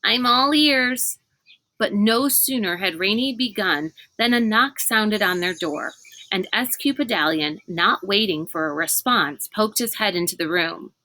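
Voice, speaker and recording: Bright-voiced woman reading a storybook, unhurried. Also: medium pitch, expressive, low-quality recording. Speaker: storybook reader. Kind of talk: scripted narration, reading a children's novel aloud.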